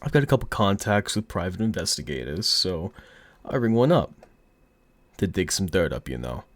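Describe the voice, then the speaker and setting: Man playing a deep-voiced, cocky, flirty mafia boss. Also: sing-song, normal speed, no room sound. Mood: smug, businesslike. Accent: put-on mobster accent. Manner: smug, teasing, theatrical drawl. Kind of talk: flirty ASMR audio roleplay.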